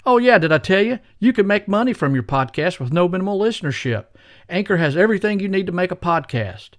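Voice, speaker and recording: A man's voice: high-pitched, very animated, fast talker, no echo, clean studio sound. A man hosting a podcast, reading scripted introductions and promotional copy. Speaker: podcast host. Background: faint music.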